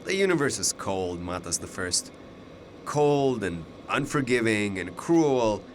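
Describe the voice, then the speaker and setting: An actor in a radio drama playing a mysterious older alternate self, fatalistic and persuasive, speaking in a deliberate, ominous way. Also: male voice, fairly low pitch, sing-song, a little hiss or hum. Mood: bitter, intense.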